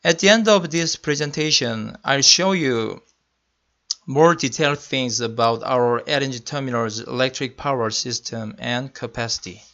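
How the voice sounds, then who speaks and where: A man's voice: mid-range voice, a bit bright. Korean-accented man giving a technical presentation. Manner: careful, deliberate non-native phrasing. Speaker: senior electrical engineering manager. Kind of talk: slide presentation lecture. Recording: muffled lo-fi voice-over.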